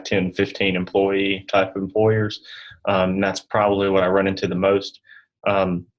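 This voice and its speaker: A man with a fairly low voice talks as an interview guest. His delivery is casual and halting, loosely phrased as he offers advice. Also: rough recording. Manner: halting, loose, peppered with "you know". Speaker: guest advisor.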